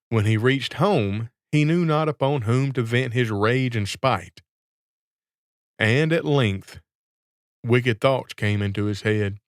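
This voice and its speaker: A man's voice: medium pitch, no echo, clean studio sound. A man reading a scripted fairy tale as a storyteller.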